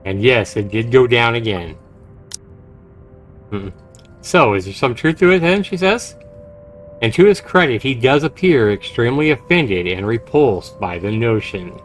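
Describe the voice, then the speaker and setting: A man livestreaming a game, commenting and reading visual novel text aloud in an expressive, dramatic way. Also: mid-range voice, measured pace, soft background music. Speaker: game streamer.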